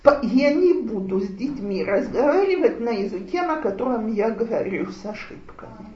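A woman giving a lecture on raising children, talking in a slow, measured way with frequent pauses to think. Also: fairly high pitch, animated. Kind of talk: lecture with audience discussion. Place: lecture room with audience. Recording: roomy, muffled lecture recording.

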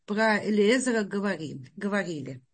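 Woman student, mid pitch, hesitant, fumbling for her notes. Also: lively intonation, normal speed, slightly rough. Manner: hesitant, searching for her place. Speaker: student asking a question. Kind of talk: reading out a question in class.

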